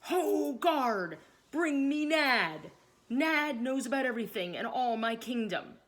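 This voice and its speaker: A woman's voice: medium pitch, big pitch swings, slow, fairly bright tone. A woman voicing a commanding, blustering king in a children's storybook, with emphatic, projected delivery. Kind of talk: children's storybook read aloud.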